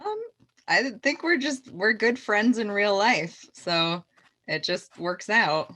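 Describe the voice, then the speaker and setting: A woman's voice: medium pitch, animated, slow pace, pushed and bright. A woman, a voice actor, chatting casually in an interview. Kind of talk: casual chat.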